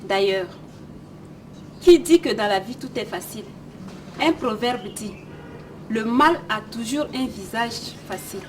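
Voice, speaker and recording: A woman with a fairly high voice gives scripted, didactic commentary. She puts questions to the viewers. She sounds a little away from the microphone, with faint noise behind her. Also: animated, measured pace, long pauses, distant mic. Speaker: presenter of an educational film.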